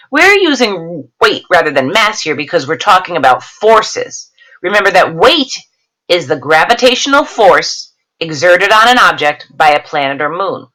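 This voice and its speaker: A woman reads a lesson aloud as a teacher would, in a slightly low voice with a very varied melody. She leans deliberately on key terms and steps out of the text for short instructive explanations. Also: pushed and bright, rough recording. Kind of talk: read-aloud science lesson with explanatory asides.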